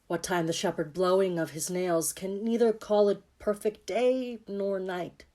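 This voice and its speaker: A woman playing a gentle, war-weary king in a Shakespearean audio drama, speaking mournful, measured verse. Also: medium pitch, fairly even intonation, close to an everyday voice. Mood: melancholy musing.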